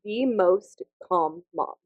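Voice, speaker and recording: A woman's voice: on the low side, expressive, slow, dry recording. Brief: twenties woman co-host, gushing and upbeat, thin phone-like recording, very dry. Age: 20s. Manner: upbeat, gushing, warm compliments. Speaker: podcast co-host. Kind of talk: casual chat.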